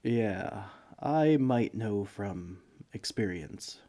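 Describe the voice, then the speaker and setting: A man's voice: fairly low pitch, expressive, extremely slow, dark tone. A man playing a bumbling, broke villain neighbor in a comedic audio roleplay, speaking in a hesitant, pleading, self-justifying way. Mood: cocky. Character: broke, bumbling, sheepish male supervillain neighbor begging for sugar. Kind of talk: comedic ASMR roleplay monologue.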